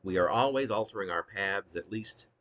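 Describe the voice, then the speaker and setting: A man's voice: fairly low pitch, moderate pace, a bit bright. A man reading his own poetry as an essay-like monologue, in a ruminative, wordy and emphatic manner. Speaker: poet reading own work. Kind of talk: spoken poetry reading, essay-like monologue with occasional unscripted asides.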